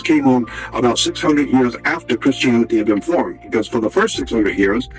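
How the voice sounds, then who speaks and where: A man's voice: medium pitch, rough recording. A man giving an explanatory history lecture, assertive and didactic, restating his key words.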